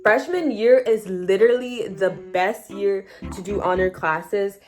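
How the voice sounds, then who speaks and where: A teenage girl giving casual high school advice in a chatty vlog, saying "like" a lot. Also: a little slow, soft background music. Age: teens. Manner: chatty, heavy use of "like", animated asides. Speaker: high school student vlogger.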